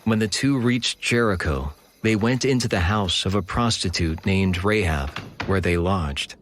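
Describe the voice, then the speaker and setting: Low-voiced male scripture narrator. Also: measured pace, a little hiss or hum. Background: music.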